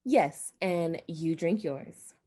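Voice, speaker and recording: An actress playing an anxious, frightened wife in a radio drama, speaking dramatically and haltingly with repeated phrases. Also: female voice, on the low side, animated, mellow, exaggerated voice. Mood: tense.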